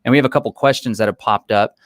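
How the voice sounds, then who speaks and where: A man's voice: mid-range voice, quick pace, no room sound, clean studio sound. A man hosting a live-stream interview, explaining his opinions at length. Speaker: interview host.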